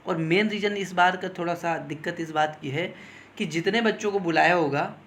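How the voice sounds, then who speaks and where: Male coaching instructor, fairly high register, informal explanatory delivery with regular check-ins to listeners. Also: normal speed, fairly bright tone, a little hiss or hum. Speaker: exam coaching teacher advising candidates. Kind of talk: informal explanatory talk on exam cut-offs.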